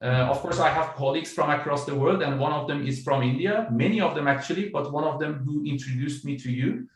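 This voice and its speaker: A middle-aged man from Iran, an author and business coach, answering interview questions over a video call in a measured, explanatory way with many fillers. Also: fairly high pitch, reverberant, recorded from a distance, low-quality recording.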